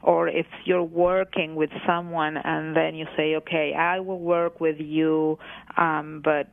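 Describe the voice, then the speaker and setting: A middle-aged woman from Argentina answering interview questions as an expert guest in a halting, thoughtful, self-correcting way, with narrow phone-like radio sound. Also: deep voice, little pitch movement, vocal fry, dry recording. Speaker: guest, psychologist treating eating disorders.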